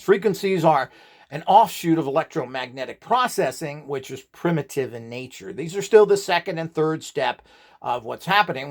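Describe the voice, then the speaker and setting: A man giving an informal, rambling lecture as an instructor, opinionated and emphatic, with a gravelly voice. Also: on the high side. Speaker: instructor in radionics.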